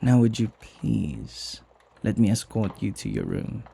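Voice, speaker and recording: A man playing a flirty, devoted butler in a romantic ASMR roleplay, speaking softly and tenderly with teasing and hesitant pauses. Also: on the low side, wildly swooping pitch, creaky, slightly rough, heavily acted. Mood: coaxing, fond. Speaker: voice actor.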